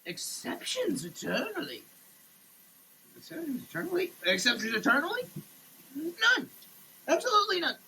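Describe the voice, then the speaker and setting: A performer giving a halting, theatrical, declamatory dramatic reading in a running shower. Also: sits high, huge pitch swings, creaky, bright, forceful tone, a little hiss or hum, low-quality recording. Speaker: solo sketch performer. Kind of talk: dramatic reading of a product label. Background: running water.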